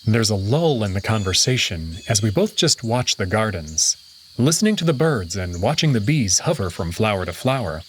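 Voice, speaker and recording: A man giving first-person story narration in a lively, expressive reading. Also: on the low side, normal speed, a little hiss or hum. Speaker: visual novel reader.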